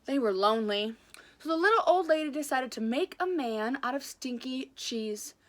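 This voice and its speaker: A woman reading aloud as a storyteller, casual and chatty, with an enthusiastic, expressive delivery. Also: high-pitched, normal speed.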